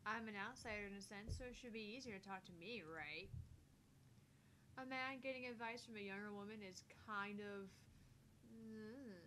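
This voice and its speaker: A woman's voice: mid-range voice, moderate pace, sharp tone, slight background noise, lightly acted, poor audio. A woman playing a prickly, teasing young woman from a visual novel, defensive and needling.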